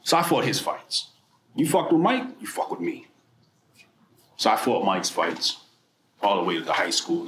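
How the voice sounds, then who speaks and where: A man from New Jersey giving a personal storytelling speech at a political mixer, animated and profane, swinging between loud bursts and quiet asides. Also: fairly low pitch, slight background noise. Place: event room. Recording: echoey room with faint ambience.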